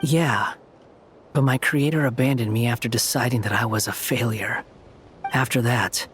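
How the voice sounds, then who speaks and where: An actor playing a guarded young puppet turned student in a video game, terse, deliberate and serious. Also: male voice, mid-range voice, a bit bright, a little hiss or hum, close to an everyday voice. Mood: guarded, matter-of-fact.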